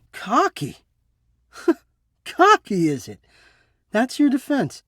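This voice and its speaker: A man's voice: a little high, darkish tone, no echo, studio quality. An actor voicing a sentient home ventilation system in a video game, an eloquent, dramatic male romantic with florid, formal delivery. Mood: scornful. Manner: florid, theatrical, formal wording.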